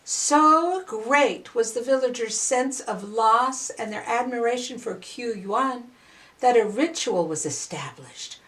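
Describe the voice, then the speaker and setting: An older woman reading a children's story aloud in an expressive, deliberate way with dramatic pauses. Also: on the high side, poor audio.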